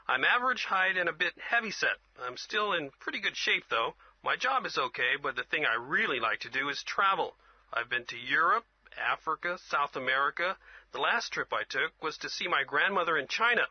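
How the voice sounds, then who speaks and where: A man's voice: on the high side, animated, a little fast, dry recording. A man in his thirties acting out a casual self-introduction for a listening exercise. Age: 30s. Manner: casual, self-describing monologue. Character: outgoing young man describing himself. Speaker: dialogue actor. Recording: dull dry lo-fi audio.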